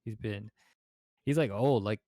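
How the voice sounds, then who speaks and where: A man in his twenties hosting a laid-back podcast, his voice low and mellow. He rambles through long stories packed with fillers and casual swearing. The sound is dry and close to the mic. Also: a little slow, creaky, no room sound. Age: 20s. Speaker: podcast host.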